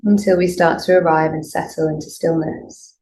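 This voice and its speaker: A woman teaching yoga nidra, leading a guided meditation in a gentle, soothing, unhurried way with pauses. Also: low voice, fairly even intonation, low-quality recording. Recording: clear background noise, room ambience.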